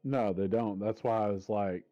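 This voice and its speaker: A man's voice: on the low side, fairly even intonation, moderate pace, no room sound. A man hosting a gaming stream, chatting casually in a laid-back, matter-of-fact way. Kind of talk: casual gamer chat. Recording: dull lo-fi voice with faint music.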